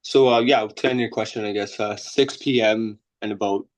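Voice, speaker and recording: A man's voice: lowish voice, steady tone, normal speed, low-quality recording. A young man from Bosnia, a guest trader, talking loosely and rambling over a video call, often tagging "you know what I mean" and "right?". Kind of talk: casual interview over a call.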